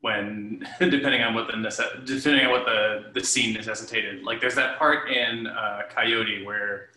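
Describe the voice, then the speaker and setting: A man in his thirties discusses his work casually as a panel guest. His voice is a bit low yet intensely bright and cutting. It comes over a video call with a strong room echo. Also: fairly even intonation, moderate pace, slight background noise. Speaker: interviewed theatre director and performer. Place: living room at home, indoors. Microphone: computer mic at a short distance, video call. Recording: roomy, echoey home video call.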